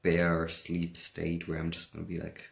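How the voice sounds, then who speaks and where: Creaky low-voiced German young man. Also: moderate pace. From Hamburg, Germany. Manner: laughs often, relaxed and chatty. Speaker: livestream host. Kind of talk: casual livestream chat reading comments.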